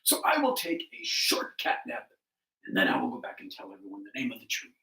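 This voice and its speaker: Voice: low voice, huge pitch swings, brisk, bright, forceful tone, echoey room, far from the mic, pitched below the performer's own voice, full character voice. A storyteller putting on a proud, pompous lion king. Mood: self-satisfied. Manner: pompous, hesitant when unsure. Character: proud vain male lion, king of beasts.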